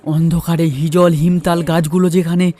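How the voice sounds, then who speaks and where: A man's voice: on the high side, little pitch movement, moderate pace, mellow. A man telling a horror story as a storyteller, in dramatic first-person narration.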